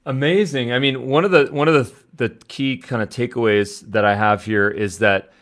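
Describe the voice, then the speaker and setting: A man's voice: mid-range voice, normal speed, a bit bright. A man hosting a podcast interview, introducing his guest with upbeat energy. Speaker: podcast host.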